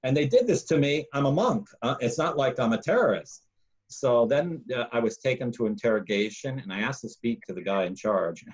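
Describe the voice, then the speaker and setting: A man, a monk hosting a casual talk, telling a long personal story in a loud, emphatic, rambling way. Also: medium pitch, animated, fairly quick, dull audio. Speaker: podcast host, monk.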